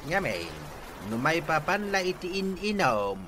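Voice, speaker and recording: A man's voice: a little high, very animated, measured pace, bright, forceful tone, heavily acted. A man with a northern Philippine accent plays a concerned, nagging father in radio comedy drama, exclaiming and admonishing. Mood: exasperated. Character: concerned, nagging father advising young men. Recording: faint background noise.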